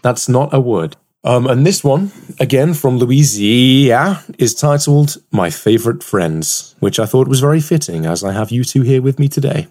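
Wry podcast host with swooping pitch. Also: lively intonation, moderate pace, a little mellow. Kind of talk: casual chat, reading poems aloud.

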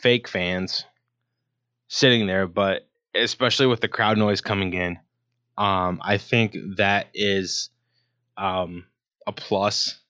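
A man's voice: lowish voice, fairly even intonation, slow, pauses often, sharp tone. Rambling man with a dull lo-fi sound. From Ohio, USA. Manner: rambling, many ums and restarts. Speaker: sports talk show host. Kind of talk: casual solo sports talk.

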